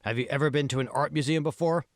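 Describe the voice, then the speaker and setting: A man's voice: on the high side, steady tone, quick pace, no room sound, studio quality, pitched above the performer's own voice, lightly acted. A man voicing a self-assured, smug billionaire in an audiobook, explaining things and pressing his point. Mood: smooth, self-assured. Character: self-assured male tech billionaire, smug patron of art. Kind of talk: audiobook dialogue.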